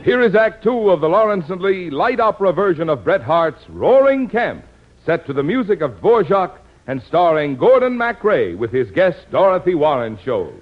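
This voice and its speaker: A man's voice: sits high, very animated, normal speed, soft background music. A man reading scripted narration as a formal, polished 1950s radio announcer. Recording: dull vintage 1950s radio recording.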